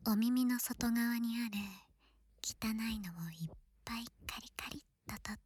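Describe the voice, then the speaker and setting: A woman playing an affectionate, teasing young girl best friend in an ASMR roleplay, playful and breathy, often slipping into whispers close to the microphone. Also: on the high side, normal speed, a little mellow, dry recording. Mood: gentle soothing. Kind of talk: Japanese ASMR situation voice drama, close intimate roleplay. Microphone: close ear-to-ear ASMR microphone.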